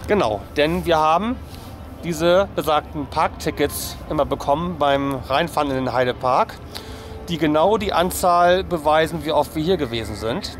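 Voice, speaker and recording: A man in his forties speaks with a German accent in a fairly high voice. He hosts a casual family vlog, talking to a camera held at arm's length in the open air. Music plays clearly behind him. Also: normal speed. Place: outdoors in an amusement park by a lake.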